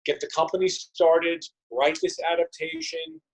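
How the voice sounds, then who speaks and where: Earnest man with a higher-pitched voice. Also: big pitch swings, measured pace, husky, poor audio. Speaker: interview guest, theatre company co-founder. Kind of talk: conversational interview answers.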